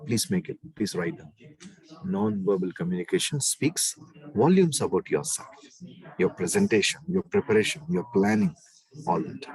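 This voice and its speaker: A man with a deep bass voice, lecturing an online class in an emphatic way and repeating key phrases. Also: a little mellow, rough recording.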